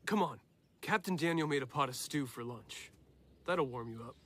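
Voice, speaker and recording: An actor playing a calm, knowledgeable, kindly mentor to children in an animated film, patient and measured. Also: male voice, mid-range voice, big-room sound, natural voice. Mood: kindly, practical.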